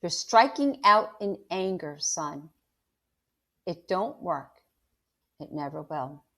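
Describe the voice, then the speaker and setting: A woman reading aloud and voicing a tired, stern but gentle working father, who talks slowly and calmly. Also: lowish voice, exaggerated voice. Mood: weary, serious.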